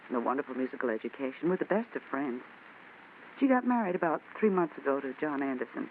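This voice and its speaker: An actress playing a frightened young woman seeking a detective's help, earnest and anxious, in 1940s radio acting style. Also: deep voice. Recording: tinny old radio recording, faint hiss.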